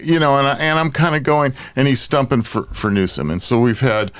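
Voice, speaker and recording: A man's voice: medium pitch, lively intonation, brisk, fairly bright tone, clear, resonant tone, no room sound. An American man hosting a casual podcast chat, bantering in an exasperated way. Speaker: podcast host.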